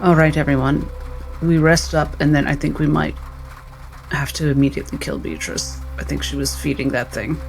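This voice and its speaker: A man plays a brisk, pragmatic doctor in a fantasy roleplay. The voice is fairly high and creaky, with blunt, decisive delivery. Music runs faintly behind. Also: rough recording. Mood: urgent, insistent. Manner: decisive, emphatic.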